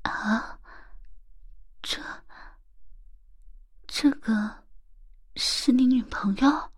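A woman playing a sly, teasing young stepmother in a whispered, breathy audio roleplay, coaxing and drawn-out. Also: a little high, full character voice. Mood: sly, probing.

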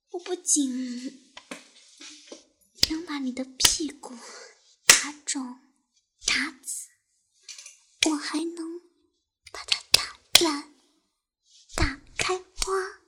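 A woman's voice: sits high, exaggerated voice. A young woman voicing a cruel, taunting tormentor in an intimate audio roleplay, teasing and mocking, often sinking into a whisper. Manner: laughs softly between taunts.

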